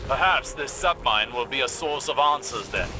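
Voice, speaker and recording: A man's voice: mid-range voice, expressive, normal speed, over music, close to an everyday voice. A man playing a stern, resolute military commander in a video game, with formal and commanding delivery. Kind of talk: scripted video game dialogue.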